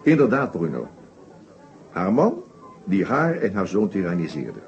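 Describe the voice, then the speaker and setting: An actor playing a shrewd, methodical detective inspector in a Dutch radio play, explaining his case deliberately with small hesitations. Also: sits low, huge pitch swings, soft background music, exaggerated voice. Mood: grave, explaining. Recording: dull old radio play recording.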